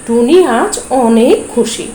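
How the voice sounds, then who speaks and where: Slow gentle woman narrating a cartoon. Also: fairly high pitch, lively intonation, a little hiss or hum. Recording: echoey with music behind.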